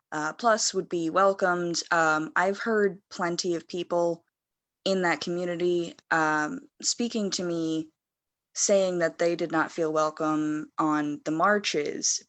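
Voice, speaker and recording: A woman's voice: low-pitched, a bit bright, no room sound. A woman on a video call asking a long, hesitant question, with frequent "um"s. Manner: hesitant, many "um"s. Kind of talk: casual discussion on a video call.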